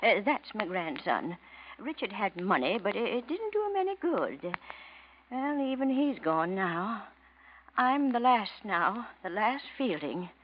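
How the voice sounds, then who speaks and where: A woman's voice: mid-range voice, very animated, a little slow, a little hiss or hum. An actress playing a frail, proud old woman in a vintage radio drama, rambling wistfully and hesitantly. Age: older. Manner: rambling, hesitant, muttering to herself. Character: frail, proud, wistful old widow, last of her family. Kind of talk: 1940s radio drama acting. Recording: dull vintage radio recording.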